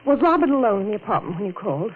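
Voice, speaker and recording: A woman's voice: medium pitch, very animated, very fast, close to an everyday voice. An actress playing a devoted, strong-willed young woman in love, earnest and emphatic in 1940s radio horror drama style. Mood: firm, calculating. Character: young, lovestruck woman, devoted and coolly scheming. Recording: tinny, noisy vintage radio recording.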